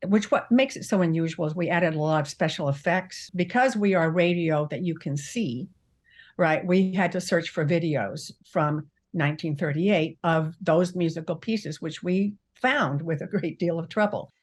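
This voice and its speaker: A woman's voice: on the low side, normal speed. A woman talking casually and explaining a production she made, as an interviewee. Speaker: radio drama producer being interviewed.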